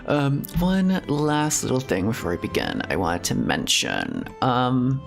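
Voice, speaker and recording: A man speaks casually as he streams a game, with music playing behind him. His pitch sits in an ordinary male range. He rambles in a hesitant, confessional way and tends to chuckle at himself. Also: huge pitch swings, measured pace, gravelly. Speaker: streamer reacting to a video game.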